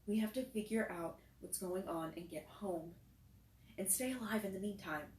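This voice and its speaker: A woman voicing a sharp-tongued teenage girl who scolds the boys and takes charge. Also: mid-range voice, a little slow, recorded from a distance, pitched above the performer's own voice, natural voice. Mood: firm calm. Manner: scolding, commanding. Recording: roomy echo, faint noise.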